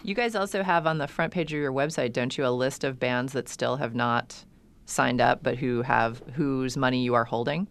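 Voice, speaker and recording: A woman with an extremely low, gravelly voice hosts a podcast. She asks her panel guests questions in an inquisitive, conversational way. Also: normal speed. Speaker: podcast host.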